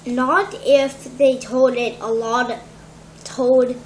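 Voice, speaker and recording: A listener answering a reader's questions in casual chat, with a hesitant, halting manner. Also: mid-range voice, level tone. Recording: roomy, distant, faint noise.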